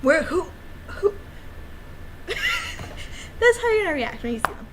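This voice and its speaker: A woman's voice: very high-pitched, wildly swooping pitch, unhurried. A woman streamer talking in a casual, rambling livestream style, playful and given to cutesy little exclamations. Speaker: streamer and amateur game developer. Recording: clear background noise.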